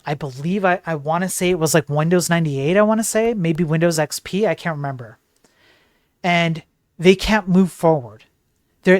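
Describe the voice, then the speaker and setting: Guy doing tech news commentary on stream, emphatic, goes on tangents, dry sound w no room echo. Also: on the high side. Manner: emphatic, digressive, with rhetorical questions. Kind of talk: opinionated news commentary on a livestream.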